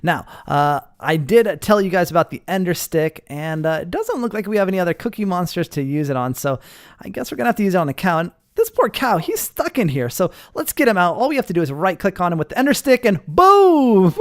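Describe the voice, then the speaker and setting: A man hosting a gaming video with casual, playful, excitable roleplay commentary. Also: sits high, fairly quick, dry recording, clean studio sound.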